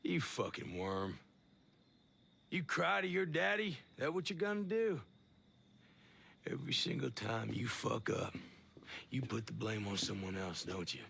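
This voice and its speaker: An actor playing a harsh, scolding military superior in a video game cutscene, with a husky, gravelly voice. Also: male voice, medium pitch, expressive, a little slow, pauses often, fairly bright tone, heavily acted. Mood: angry, contemptuous. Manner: confrontational, scolding. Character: harsh, contemptuous, foul-mouthed military superior.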